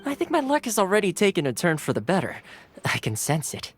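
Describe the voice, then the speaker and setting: A man's voice: sits high, wildly swooping pitch, a little fast. An actor playing a cheerful young male retainer in a video game, easygoing and polite, with a playfully boastful streak. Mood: amiable. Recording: game audio with soft music behind.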